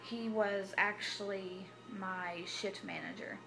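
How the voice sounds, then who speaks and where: A woman, telling her personal story to camera in a halting, hesitant way with many restarts. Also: mid-range voice, fairly even intonation, music in the background, low-quality recording. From Tennessee. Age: 20s. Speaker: vlogger. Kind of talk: personal confessional vlog.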